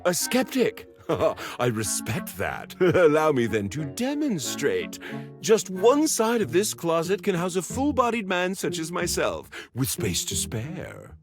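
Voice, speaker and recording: A man's voice: a little high, huge pitch swings, slow pace, over music, full character voice. A man playing a jovial, over-polite game character with florid, theatrical wording and a nervous streak. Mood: showy, cheerful. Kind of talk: video game character acting.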